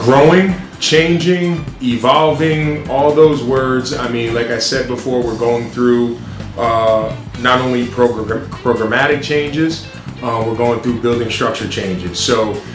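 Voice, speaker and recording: Middle-aged male principal, loud and earnest, mid-pitched, roomy recording. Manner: loud, earnest, restarts with many ums. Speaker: high school principal, interview guest. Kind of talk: casual interview answers. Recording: roomy sound with music behind.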